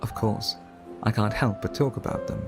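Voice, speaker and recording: A man playing a suave, refined vampire art collector in an ASMR roleplay, speaking in a formal, courteous, flirtatious and measured way. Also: on the low side, over loud music, natural voice. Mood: courtly, musing.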